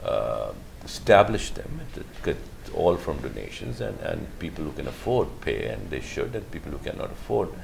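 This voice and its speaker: Man, middle-aged, extremely low voice, heavy vocal fry, hesitant, slight hiss behind. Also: sing-song. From Pakistan. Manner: halting, measured, many fillers and restarts. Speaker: guest, physician. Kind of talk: interview answers.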